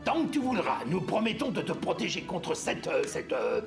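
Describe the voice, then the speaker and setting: A woman voicing a kindly, blunt little forest creature in a French cartoon dub, with a gravelly voice and familiar, reassuring manner. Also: on the low side, big pitch swings, normal speed, over music. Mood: indignant, protective. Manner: familiar, reassuring, matter-of-fact. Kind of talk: French-dubbed cartoon acting.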